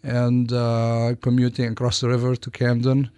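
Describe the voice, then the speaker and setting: Man, from Lebanon, interview guest, halting delivery, frequent restarts, medium pitch, dry studio recording. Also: completely flat delivery, warm tone, clear, resonant tone, no room sound. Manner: hesitant, halting phrasing with repeated false starts. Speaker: guest, doctor. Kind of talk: interview answers. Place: radio studio.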